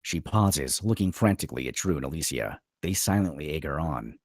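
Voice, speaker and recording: A man's voice: rumbling low, lively intonation, fairly quick, dry recording, clean studio sound. A man reading stage directions as dramatic scripted narration at a screenplay table read.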